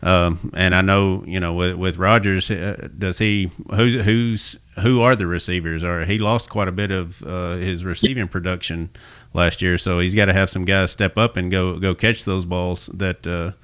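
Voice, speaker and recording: Man, deep smooth voice, halting casual sports talk, podcast host, dry sound. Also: fairly even intonation, a bit bright, no echo.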